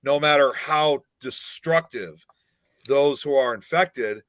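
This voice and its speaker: A man's voice: medium pitch, slow, fairly bright tone, no echo. A man co-hosting a casual podcast, chatty and fond of sharing trivia. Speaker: podcast co-host.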